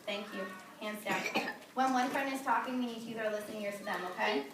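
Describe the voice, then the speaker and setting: A woman teacher reading a picture book aloud to young children, pausing to ask questions and gently correct in simple classroom phrases. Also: a little high, fairly even intonation. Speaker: preschool or early grade teacher. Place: classroom with children, recorded from a distance. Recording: echoey room, distant mic, noisy background.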